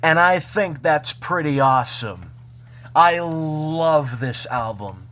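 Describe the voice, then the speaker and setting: Slow-paced man with a slightly high voice. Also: expressive, a bit bright. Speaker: vlogger showing a personal collection. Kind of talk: casual unscripted show-and-tell.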